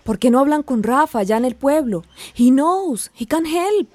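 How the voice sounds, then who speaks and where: High-voiced, cautious worried friend. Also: female voice, expressive, moderate pace, darkish tone, no room sound. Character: cautious, worried friend who mixes in English. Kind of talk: radio drama dialogue.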